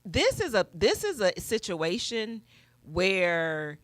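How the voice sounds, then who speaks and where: A woman's voice: medium pitch, big pitch swings, slow pace, a bit bright, no room sound. A woman co-hosting a talk show, thoughtful and feeling her way toward words in a reflective discussion.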